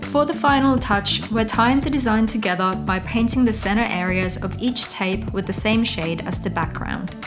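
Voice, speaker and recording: A woman's voice: mid-range voice, normal speed, few pauses. A woman narrating a scripted craft tutorial as its host, giving step-by-step instructions. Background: music.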